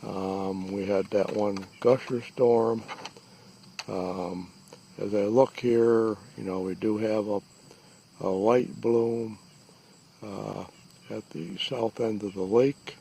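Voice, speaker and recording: A man giving a halting, deliberate, matter-of-fact informal field narration outdoors, recorded on a handheld device. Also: lowish voice, fairly even intonation, vocal fry, mellow. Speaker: lake resident surveying an algae bloom. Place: outdoors, out on a small lake. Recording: faint outdoor background noise.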